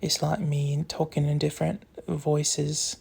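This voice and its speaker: A young adult speaking in a put-on British accent, rambling candidly and softly to followers into a phone, saying "like" often, with a husky, creaky voice that trails into breathiness. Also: mid-range voice, flat delivery, measured pace. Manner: soft, candid, meandering with frequent "like". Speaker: social media content creator. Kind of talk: casual rambling confessional chat to followers.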